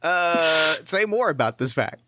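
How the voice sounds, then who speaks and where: A man's voice: fairly high pitch, animated, measured pace, sharp tone, no room sound. A man hosting a casual podcast and introducing a game, enthusiastic and chatty with lots of fillers. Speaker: podcast host and game master. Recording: dry close podcast mic.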